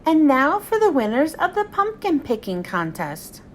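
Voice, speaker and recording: A woman voicing a patient, kindly female schoolteacher in a children's storybook, calling out gently and evenly. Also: sits high, wildly swooping pitch, normal speed, a little hiss or hum.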